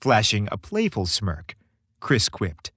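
Animated male podcast storyteller, dry close sound. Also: fairly low pitch, slow pace, slightly rough, dry recording. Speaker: podcast host and story narrator. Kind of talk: scripted audio story narration with ad reads.

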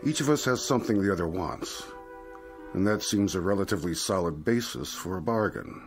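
A suave devil in a radio drama, pitched low and swooping freely. He is silky and coaxing, a genteel salesman who stretches his words theatrically. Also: expressive, a little fast, faint music behind. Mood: smooth coaxing.

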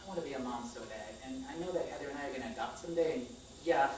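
A woman's voice: extremely low pitch, racing. A woman in her thirties reading a candid, emphatic personal monologue on a stage. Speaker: spoken-word storyteller on stage. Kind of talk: personal monologue read aloud to an audience. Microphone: far camera microphone. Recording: echoey theater, distant mic, faint noise.

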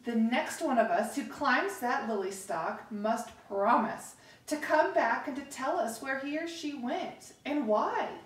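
A woman voicing an earnest male water bug leader from a children's storybook, speaking deliberately in a room. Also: high-pitched, animated. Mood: resolute. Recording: echoey room, distant microphone.

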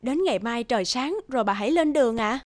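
A kind young peasant mother, acted by a woman in a cartoon folk tale. Her voice is fairly high, and she speaks gently and respectfully. The recording is dry. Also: expressive, fast talker, no room sound, close to an everyday voice. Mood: gently insistent. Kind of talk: animated folk-tale acting.

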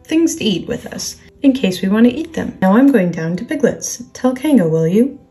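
A woman's voice: medium pitch, normal speed, faint music behind, pitched above the performer's own voice, close to an everyday voice. A woman voicing a simple, eager little toy bear. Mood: excited. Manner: naive, happily excitable, mispronounces long words. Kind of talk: children's storybook character reading.

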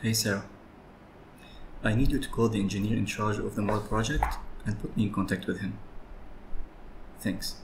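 A young man in an office ASMR roleplay, talking in a measured, business-like way. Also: on the low side. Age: young adult. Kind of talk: office ASMR roleplay, murmuring and phone talk. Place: small high-rise office, indoors. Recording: roomy office, loud keyboard clicks and noise. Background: typing and clicks over heavy noise.

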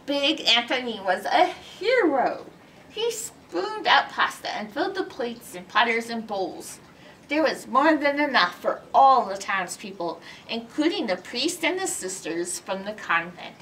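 A middle-aged woman reads a children's storybook with a very lively, swooping delivery. She takes her time and performs the story dramatically. There is faint noise in the recording. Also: fairly high pitch, unhurried, far from the mic, poor audio. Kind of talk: animated storybook read-aloud. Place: indoors in a library by bookshelves.

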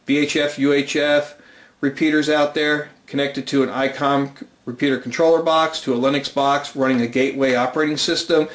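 A man with a medium-pitched voice presents a hobby tutorial. He explains things plainly and methodically. The room adds clear echo to his voice. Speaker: amateur radio demonstrator and presenter. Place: indoors at home, roomy. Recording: roomy, echoing home room.

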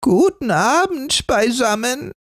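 A man voicing a frail, ragged old beggar woman in a fairy tale, with a creaky, rusty voice. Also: very high voice, sing-song, slow pace, fairly bright tone, no echo, studio quality, pitched above the performer's own voice, exaggerated voice. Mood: pleading.

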